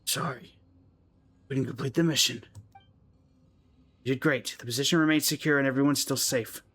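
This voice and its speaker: A man's voice: medium pitch, fairly even intonation, moderate pace, fairly bright tone, husky. Man reading as grave, earnest fantasy soldiers. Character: earnest soldiers speaking gravely over a wounded captain. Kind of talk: reading game story dialogue aloud.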